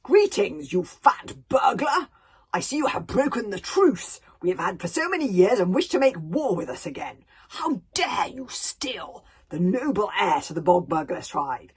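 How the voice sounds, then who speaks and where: A woman voicing a fierce, loud female warrior chief who taunts her enemies in a written challenge. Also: medium pitch, big pitch swings, a little slow, slightly rough, exaggerated voice. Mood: taunting. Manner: taunting, bellowing.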